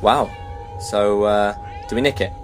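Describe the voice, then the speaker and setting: A man's voice: on the low side, steady tone, unhurried, lightly acted. A man playing a cheeky, irreverent quester in a comic radio play, blunt and colloquial. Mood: incredulous. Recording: music clearly behind the voice.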